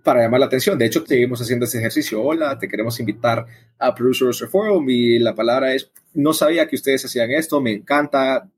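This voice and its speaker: Casting: male interview guest, husky mid-range voice, explanatory and conversational delivery. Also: mid-range voice, fast talker. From El Salvador. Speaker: interview guest, coffee event organizer.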